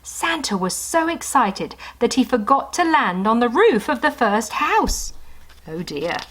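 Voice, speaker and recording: A woman narrating a children's storybook in an animated style, with chatty asides to young listeners. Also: fairly high pitch, unhurried, a little hiss or hum, low-quality recording.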